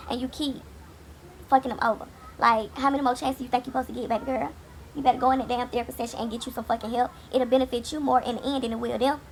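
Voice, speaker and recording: Woman, raspy gravelly voice, a bit high, blunt and sassy, casual show recap, faint hiss behind. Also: steady tone, fast talker. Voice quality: raspy from a cold. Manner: blunt, sassy, swears freely. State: hoarse, getting over a cold. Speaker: TV recap host. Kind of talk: casual episode review. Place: home, late at night.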